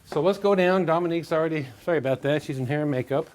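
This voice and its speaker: A man hosting a talk show, chatting in a halting way and often repeating words as he tells stories. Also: a little high, a little mellow, slight background noise. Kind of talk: comedic monologue and show hosting to an audience.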